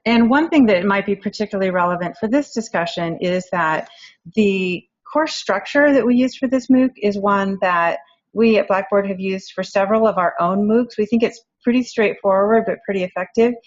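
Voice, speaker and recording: A woman's voice: medium pitch, moderate pace. A woman presenting on a conference panel, explaining things in a plain way with a few fillers. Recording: dull lo-fi conference recording.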